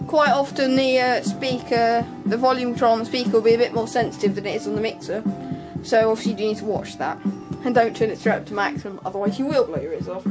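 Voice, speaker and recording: A woman's voice: a little high, quick pace, hardly any pauses, over music, poor audio. Hesitant young woman giving a tutorial. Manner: hesitant, offhand, trailing off into asides. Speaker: sound tech tutorial presenter. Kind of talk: informal unscripted hands-on tutorial.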